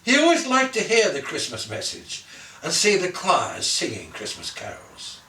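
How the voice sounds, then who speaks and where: Animated, high-pitched man telling a children's story. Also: moderate pace. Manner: theatrical, savours lists, dramatic phrasing with soft endings. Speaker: storyteller reading own Christmas story. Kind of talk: expressive scripted children's story narration. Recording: roomy echo, distant from the mic.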